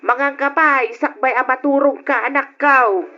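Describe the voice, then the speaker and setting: A high-pitched mother who fusses over her grown son. She is caring and solicitous, in old radio drama style. The recording sounds dull and lo-fi. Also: steady tone, normal speed, sharp tone, faint music behind. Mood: fussing.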